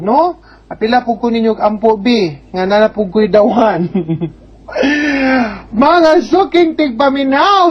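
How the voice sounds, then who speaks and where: Female advice-show host, Cebuano Filipino accent, slow conversational delivery with tag questions, muffled radio sound. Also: mid-range voice. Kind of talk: advice to letter writer, radio sign-off.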